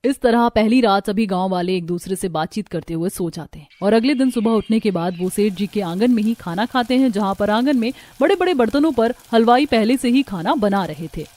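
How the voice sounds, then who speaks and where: A woman's voice: mid-range voice, animated, brisk, few pauses, darkish tone. A woman narrator telling a scripted cartoon story.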